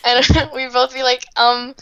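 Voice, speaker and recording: A young woman with a bright, sharp voice chats during a game, teasing and giggling as she talks. Her voice sounds worn out, but it is still pitched a little high for a woman. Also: flat delivery, unhurried, clean tone, highs cut off. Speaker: guest player. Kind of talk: casual chat.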